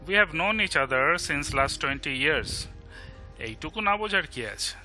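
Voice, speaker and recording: A supportive, joking bachelor friend played by a man in a bilingual audio drama, with an Indian Bengali accent. He talks very fast in a very bright, sharp voice, hopping between English and Bengali. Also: on the high side, very animated, soft background music, heavily acted, low-quality recording. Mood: supportive, frank.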